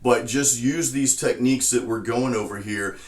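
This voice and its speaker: Low-voiced man teaching in an echoey room. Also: moderate pace. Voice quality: naturally low speaking voice. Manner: relaxed, explanatory, says "you know" often. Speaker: vocal coach. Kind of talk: casual spoken tutorial. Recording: roomy echo.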